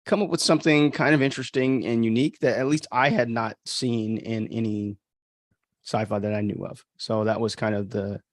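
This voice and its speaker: A man who is an author and host, giving informal, halting commentary on his own book as he thinks aloud. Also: mid-range voice, steady tone, dry recording, clean studio sound.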